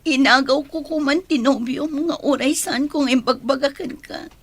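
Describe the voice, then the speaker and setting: A woman playing a remorseful, heartbroken young woman in an Ilocano radio drama, speaking softly and apologetically. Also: high voice, measured pace. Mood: pleading, remorseful.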